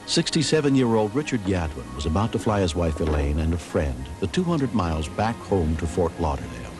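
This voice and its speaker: A man's voice: low voice, very animated, brisk. A man narrating a documentary in a scripted, dramatic style. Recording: music behind the voice. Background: music.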